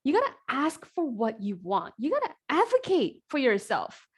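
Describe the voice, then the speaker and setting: A woman in her forties, an executive coach hosting an online group coaching call, talking in an energetic, conversational way with many fillers. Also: high voice, normal speed, breathy edge. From South Korea, raised in New Jersey. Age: 40s.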